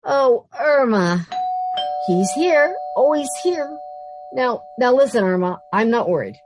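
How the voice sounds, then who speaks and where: A woman's voice: a little high, animated, takes it slowly. A woman playing an anxious, sardonic young roommate who also narrates, in an old-time radio sitcom style. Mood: frantic. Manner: wry, long-suffering, nervous.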